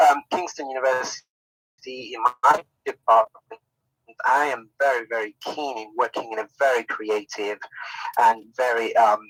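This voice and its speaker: A man's voice: medium pitch, a bit bright, no echo, rough recording. A middle-aged man from Zambia giving an unscripted talk as a university department head, friendly and informal, with many fillers and restarts. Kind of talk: unscripted presentation.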